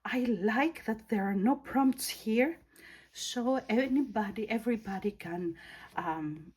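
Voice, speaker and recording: Greek-accented woman, planner vlogger, unhurried, soft husky tone. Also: medium pitch, low-quality recording. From Greece. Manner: slow, thoughtful, searching for words. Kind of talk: casual product review.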